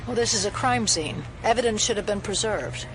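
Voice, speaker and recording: Actress as brisk female investigator, American accent, assertive, dry, audio drama, noisy ambience. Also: medium pitch, lightly acted. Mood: challenging. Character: brisk, sceptical female federal agent and doctor.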